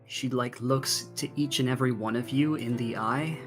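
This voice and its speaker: A man narrating a tabletop game as game master, dramatic and deliberate, with long pauses. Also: medium pitch, soft background music.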